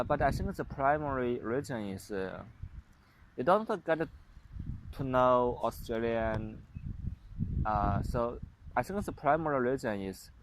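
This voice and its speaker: A man's voice: medium pitch, low-quality recording. Young male vlogger, Chinese accent, hesitant and unpolished, slight background hiss. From China. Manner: halting, many fillers and restarts. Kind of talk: casual monologue to camera.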